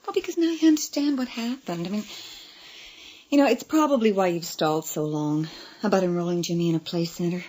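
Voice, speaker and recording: An actress playing a concerned, practical American wife and mother in a radio drama, coaxing and gently insistent. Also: on the low side, fairly quick, natural voice. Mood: reassuring, insistent. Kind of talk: naturalistic radio drama acting.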